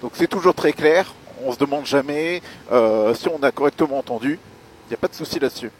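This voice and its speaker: A man giving a spoken gear review as a motovlogger, explaining things in a measured, hesitant way with pauses. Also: mid-range voice, a little hiss or hum. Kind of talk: spoken product review while riding.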